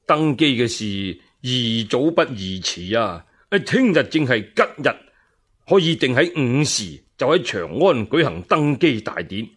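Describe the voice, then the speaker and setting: A man voicing a cautious, scheming court minister in Cantonese, deliberate and formal, in a radio storytelling serial. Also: mid-range voice, sing-song, a bit bright, slightly rough, full character voice. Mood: decisive, formal.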